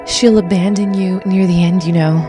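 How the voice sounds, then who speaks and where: A woman's voice: fairly low pitch, steady tone, moderate pace, music in the background, lightly acted. A woman playing a bitter, cold, menacing villainess who taunts in an audio drama. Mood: cold taunting. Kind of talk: fan audio drama acting.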